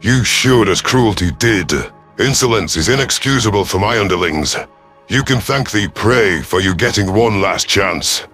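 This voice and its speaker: A man's voice: on the low side, expressive, normal speed, fairly bright tone, faint music behind. A man voicing a menacing, commanding male reptilian alien captain in a sci-fi audio story, growling threats.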